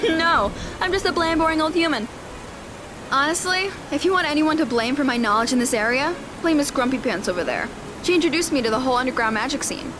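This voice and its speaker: Woman playing a high-pitched cheeky teenage girl. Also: animated, a little fast, background noise. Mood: sassy. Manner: sassy, teasing, boastful. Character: cheeky, mocking teenage girl with a know-it-all streak. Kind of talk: audio drama acting.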